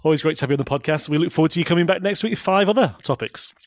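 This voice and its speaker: A man's voice: on the high side, rapid-fire. A man hosting a podcast, easygoing in casual chat. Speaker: podcast host.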